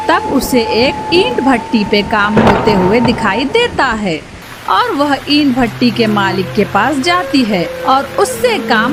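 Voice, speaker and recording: A woman narrating a scripted children's story as the storyteller of a cartoon. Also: high voice. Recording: loud music behind the voice.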